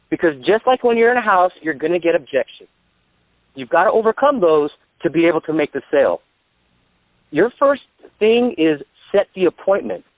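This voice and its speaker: A man with a fairly high voice gives practical sales training on a conference call. He explains things plainly, one step at a time. The sound is a dry, narrow telephone line. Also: no echo. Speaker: insurance sales agent and trainer, guest speaker. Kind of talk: instructional sales talk on a call. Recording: dry, tinny phone line.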